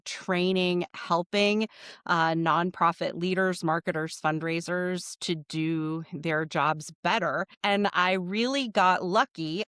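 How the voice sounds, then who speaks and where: A woman's voice: lowish voice, fairly bright tone, smooth, no room sound, studio quality. A middle-aged woman from Nebraska with a Midwestern American accent, answering conversationally and enthusiastically as a podcast guest. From Nebraska, United States. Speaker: podcast guest, nonprofit fundraising professional.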